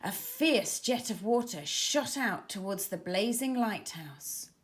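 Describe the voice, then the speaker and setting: A woman children's author reading her storybook aloud with expressive, dramatic emphasis for young listeners. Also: medium pitch, slow.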